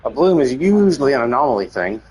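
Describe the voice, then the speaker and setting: A man's voice: mid-range voice, sing-song, fast talker, darkish tone. Man casually rambling over background game music. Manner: rambling, opinionated, repeats himself, casual swearing. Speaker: live streamer playing a story game. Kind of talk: casual commentary on game story.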